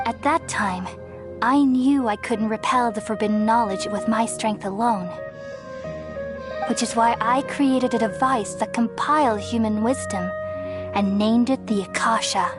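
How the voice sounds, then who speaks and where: A woman's voice: fairly high pitch, close to an everyday voice. A woman voicing a serene, ancient wise goddess. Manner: calm, measured and soothing. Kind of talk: video game cutscene dialogue. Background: game score music.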